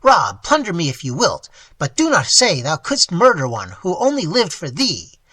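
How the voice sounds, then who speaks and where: A reader voicing a frail old male miser who cries out in high, shaking outbursts. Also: huge pitch swings, measured pace, pushed and bright, breathy edge, no room sound, heavily acted. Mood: pleading. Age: over 60.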